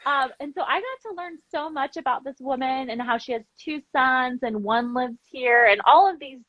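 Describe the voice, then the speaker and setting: Female, slightly high-pitched, informal and chatty interview storyteller, very dry sound. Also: moderate pace, dry recording, muffled recording. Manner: chatty, informal, acts out dialogue when telling stories. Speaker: interview guest, language program founder. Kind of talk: casual live interview chat, animated storytelling.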